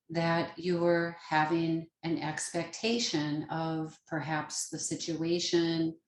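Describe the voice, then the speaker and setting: A woman with a fairly low voice guides a meditation in a roomy, echoing space. She speaks very slowly and gently, leaving pauses between short phrases. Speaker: yoga nidra meditation teacher.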